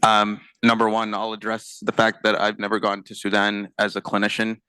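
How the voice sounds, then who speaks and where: A man's voice: on the low side, completely flat delivery, normal speed, bright, forceful tone, clear, resonant tone, dry recording, rough recording. A young man speaking as a physician on a conference panel, casual, with many fillers. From United States. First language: not Arabic. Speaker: panelist, internal medicine physician.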